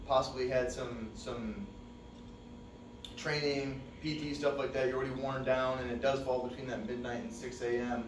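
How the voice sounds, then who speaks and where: A young man giving a fire service training talk in a relaxed, conversational way with many fillers, recorded from a distant camera in a dim classroom. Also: mid-range voice, a little hiss or hum. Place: dim training room with projector screen. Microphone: far camera. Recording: echoey room, distant mic.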